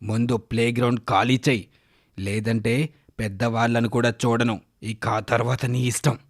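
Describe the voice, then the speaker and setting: A man's voice: mid-range voice, flat delivery, normal speed, voice pushed down, lightly acted. Man voicing a menacing, scornful village thug. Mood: threatening. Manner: bullying, commanding, scornful. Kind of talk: children's animated moral story.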